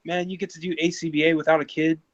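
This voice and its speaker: A man in his thirties talks in a relaxed chat, stumbling over his words and restarting, then speeding up when he gets excited. His voice sits a little high. The recording sounds dull and lo-fi. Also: fast. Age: 30s. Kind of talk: casual chat.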